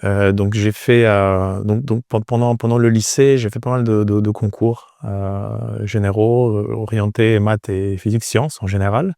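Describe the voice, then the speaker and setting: Low, mellow man in his forties. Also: steady tone, creaky, dry recording, clean studio sound. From Romania. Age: 40s. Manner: hesitant, pausing often with repeated words. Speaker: entrepreneur, interview guest. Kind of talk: conversational interview answers.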